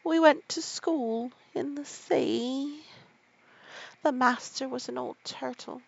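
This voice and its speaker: A woman's voice: high-pitched, slow pace, gravelly, breathy edge, dull audio, voice pushed up, full character voice. A woman voicing a weepy, sighing old male turtle creature in a storybook reading. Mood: plaintive.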